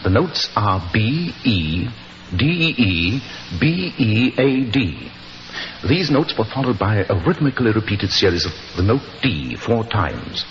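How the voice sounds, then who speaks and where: A confident British detective in an old radio mystery. He speaks precisely and with command, his pitch sweeping widely in a theatrical way. The vintage recording is muffled, with some hiss. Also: big pitch swings, measured pace. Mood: explaining. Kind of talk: 1940s radio acting. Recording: muffled 1940s radio recording, faint noise.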